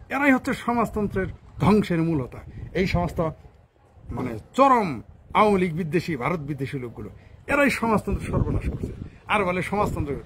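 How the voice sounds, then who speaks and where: High voiced bangladeshi guy doing an angry scornful rant, swears a lot, bit of noise in the background. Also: a bit bright. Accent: Bangladeshi Bengali. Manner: combative, scornful, swearing freely, rhetorical questions. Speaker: political commentator. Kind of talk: informal political rant to camera.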